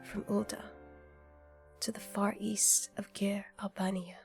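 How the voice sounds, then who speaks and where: A woman's voice: medium pitch, little pitch movement, measured pace, vocal fry, soft background music. A woman playing a blunt, earnest and wounded heroine in an audio drama. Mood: grave, earnest. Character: blunt, intense, wounded heroine, protective of victims. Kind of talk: fan audio drama acting, dramatic monologue.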